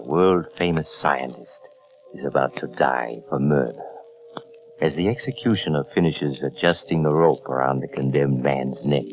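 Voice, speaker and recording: An actor playing a sinister, courtly storyteller, narrating old radio horror in a theatrical, deliberate, ominous way. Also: male voice, a bass voice, poor audio. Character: sinister, courtly male storyteller of strange tales. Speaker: host and narrator. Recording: old radio recording, loud music behind.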